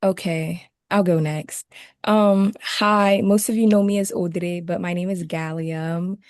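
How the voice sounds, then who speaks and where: A young college woman introducing herself on a video call, softly and a bit shyly. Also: fairly low pitch, fairly even intonation, unhurried, dry recording, studio quality. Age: young adult.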